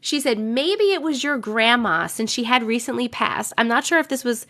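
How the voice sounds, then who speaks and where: A young adult woman live streaming, chatting with viewers and reading stories, her voice a little rough from a cold. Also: a little high, expressive, moderate pace, fairly bright tone. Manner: chatty, greets viewers by name between sentences. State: voice a bit rough from getting over a cold. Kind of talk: casual live stream chat and story reading.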